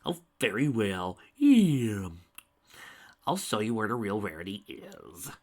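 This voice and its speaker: A man's voice: lowish voice, very animated, slow, darkish tone, full character voice. A man voicing an insane male alicorn villain who taunts and snickers at his foe. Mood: taunting.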